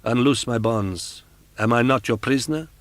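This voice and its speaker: Frightened captive young woman, read by a man, pleading and strained, voice lifted higher. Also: normal speed, no room sound, lightly acted.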